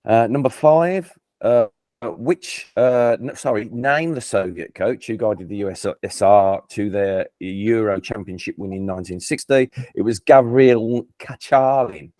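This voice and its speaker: A man's voice: lowish voice, measured pace, mellow, no echo. Chatty Brummie man hosting a quiz. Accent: Birmingham English. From England. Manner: chatty, self-deprecating, stumbles and restarts. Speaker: quiz host. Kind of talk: casual online quiz hosting.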